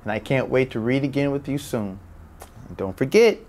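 A man's voice: medium pitch, normal speed, darkish tone. A man in his thirties presenting a story to young children with upbeat, smiling expression, on a lapel mic. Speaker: children's read-aloud host.

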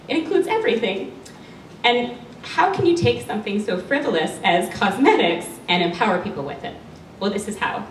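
A Canadian woman in her thirties with a loud voice, giving a passionate talk with a handheld mic to a small audience. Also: medium pitch. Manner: passionate, emotional, laughs often. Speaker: guest speaker, cosmetics maker. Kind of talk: public talk at a panel event. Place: small studio event room with an audience. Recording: roomy sound from a far camera, faint hum.

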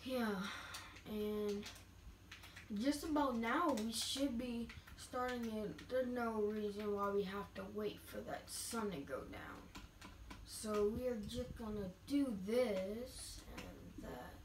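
A woman's voice: on the high side, slow pace. Roomy, far-off young-sounding scratchy female gamer. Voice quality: slightly scratchy. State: getting over being sick, voice scratchy. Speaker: gamer narrating gameplay. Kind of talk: casual gameplay commentary. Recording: distant roomy mic, music behind.

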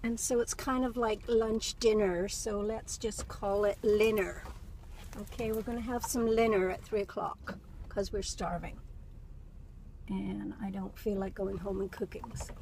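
A woman's voice: mid-range voice, steady tone, a little slow, gravelly, darkish tone. A middle-aged woman vlogging casually, chatting in a rambling way and repeating words for emphasis. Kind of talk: casual chit-chat vlog. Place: inside a car. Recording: noisy car interior, road ambience. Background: car and road noise.

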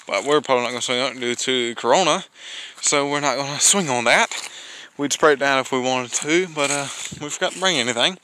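Young man, bright slightly high voice, rambling outdoor vlog filmed on a handheld phone. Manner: rambling, corrects himself often. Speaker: vlogger. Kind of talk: casual walking tour narration. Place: outdoors at a lake park boardwalk.

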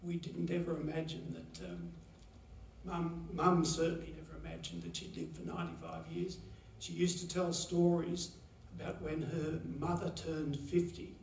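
A man gives a halting, pause-filled eulogy for his mother at a chapel lectern. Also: fairly high pitch, vocal fry, darkish tone, slight background noise. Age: 70s. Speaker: son giving a eulogy. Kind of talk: memorial eulogy. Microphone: lectern mic, heard from a far camera. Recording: roomy chapel echo from far off.

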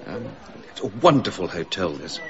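An actor playing a fussy, bumbling author in a radio drama, hesitant and over-polite, eager to please. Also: male voice, deep voice, very animated, moderate pace, a bit bright. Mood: bashful. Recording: slightly dull recording.

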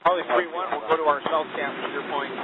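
Man, mid pitch, military radio chatter, clipped coded callouts, phone-like narrow band, clear static. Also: steady tone, fast. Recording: tinny, noisy narrow-band radio transmission.

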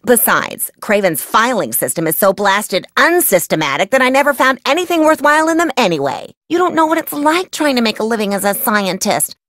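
A woman voicing an eager, quirky, chatty young insect scientist in a video game, excitable and easily sidetracked. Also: a little high, fairly quick, few pauses, dry recording, clean studio sound. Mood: defensive. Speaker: game character, field entomologist. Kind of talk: animated video game voice acting.

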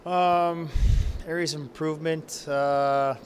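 A man in his forties, a head coach, answering post-game press questions in a halting, subdued way with many fillers. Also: a little high, fairly even intonation, slight background noise. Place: press room backdrop. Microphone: handheld reporter mics held close.

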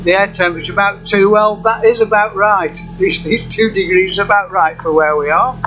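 A man giving an informal, unscripted walkthrough of software in a halting, matter-of-fact way. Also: sits high, steady tone. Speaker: software demonstrator. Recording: muffled, loud engine hum and noise. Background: running car engine hum.

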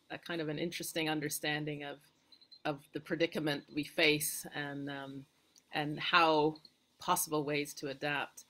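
A woman's voice: low-pitched, pushed and bright. A middle-aged woman with a Canadian accent introducing herself casually and reflectively on a video call, often hesitant as she searches for words. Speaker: guest, retreat owner and filmmaker. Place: outdoor wooden porch by a garden.

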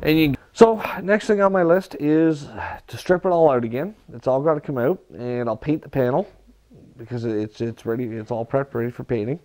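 A man giving hands-on instruction in a workshop, talking viewers through his work in a relaxed, practical way while he works at the bench. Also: mid-range voice, moderate pace. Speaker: aircraft builder demonstrating.

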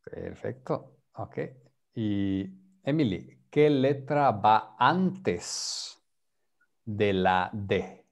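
A man's voice: lowish voice, lively intonation, extremely slow. A man teaching an online beginner Spanish class over a video call, patiently prompting students and mixing Spanish with English. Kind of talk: online group language class.

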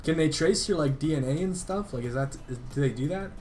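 A man's voice: fairly high pitch, lively intonation, fast talker, a little mellow, faint music behind. A man chatting casually on a call, rambling in loose slang with plenty of swearing. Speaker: gaming video creator making a prank call. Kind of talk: casual phone chat.

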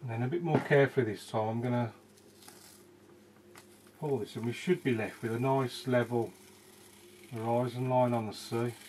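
A man in his thirties demonstrates a watercolour painting in a small indoor room, his voice at an ordinary middle pitch. He talks in a relaxed way, thinking aloud and letting sentences trail off quietly. A clear background noise runs under the recording. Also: moderate pace, long pauses, low-quality recording.

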